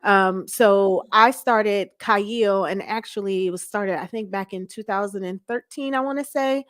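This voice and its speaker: A woman's voice: mid-range voice, measured pace, no room sound, studio quality. A middle-aged American woman telling personal stories conversationally as an interview guest. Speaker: interview guest, church first lady and youth mentor.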